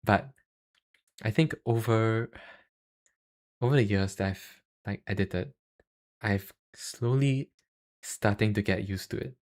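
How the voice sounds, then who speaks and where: A young man with a Singaporean accent chatting casually on a livestream, halting and self-deprecating, speaking into a cheap USB microphone. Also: fairly low pitch, a little mellow. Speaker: YouTuber and live streamer.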